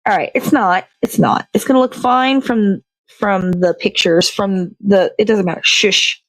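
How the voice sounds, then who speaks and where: A woman's voice: medium pitch, a little slow, no echo, rough recording. A woman hosting a casual show, chatting and rambling as she works on a craft. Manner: rambling, self-correcting, chatty. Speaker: host. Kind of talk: casual chat while crafting.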